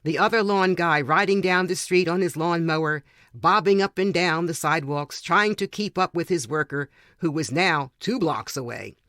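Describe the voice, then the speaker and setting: A man's voice: fairly high pitch, normal speed, sharp tone, dry recording, studio quality. A man reading a humorous personal story aloud as scripted narration. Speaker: reader of short stories for a podcast.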